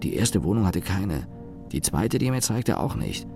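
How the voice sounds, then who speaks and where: A man's voice: low voice, very animated, normal speed, music in the background. A man playing a weary, cynical office engineer in a radio play, muttering a sardonic monologue in clipped fragments. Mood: aggrieved, dry.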